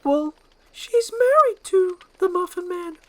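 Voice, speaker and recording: A woman's voice: extremely high pitch, expressive, takes it slowly, fairly bright tone, voice pushed up, full character voice. A woman voicing a tiny, defiant, panicky gingerbread man in a dramatized screenplay reading, squealing with indignation. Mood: desperate.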